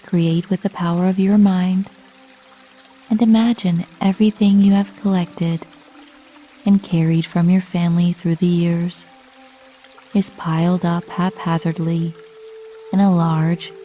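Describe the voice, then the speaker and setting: A woman with a soft, mellow tone reads a guided sleep meditation. She speaks calmly and soothingly at a very unhurried pace, leaving long pauses. Music plays underneath, along with running water. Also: lowish voice. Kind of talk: scripted sleep meditation narration, bedtime story.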